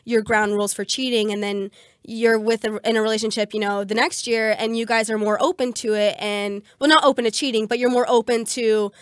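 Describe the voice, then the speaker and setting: Raspy young woman with a cold. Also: steady tone, brisk, no echo, clean studio sound. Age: young adult. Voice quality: slightly deep and raspy. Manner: giggly, many fillers. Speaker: podcast co-host. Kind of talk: casual chat.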